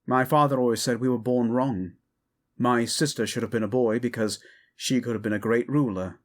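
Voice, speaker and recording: An actor playing a guilt-ridden, vengeful prince in an audio drama, earnest and subdued. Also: male voice, mid-range voice, steady tone, moderate pace, natural voice. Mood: brooding, guilty. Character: brooding wounded prince, guilt-ridden and vengeful, protective of sister.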